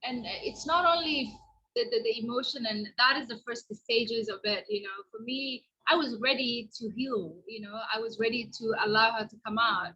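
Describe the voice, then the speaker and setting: A woman's voice: on the high side, normal speed, pushed and bright, husky, dull audio. A woman whose first language is Somali, speaking in an earnest, reflective way with many restarts as a podcast guest on a remote call. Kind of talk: casual podcast conversation. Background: washing machine noise.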